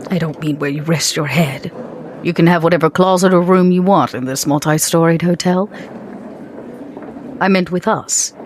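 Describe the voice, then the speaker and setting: A woman voicing a poised, probing female vampire with formal, coy, deliberate phrasing in improvised roleplay. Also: lowish voice, slight background noise, lightly acted. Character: poised, probing, elegant female vampire businesswoman named Vera.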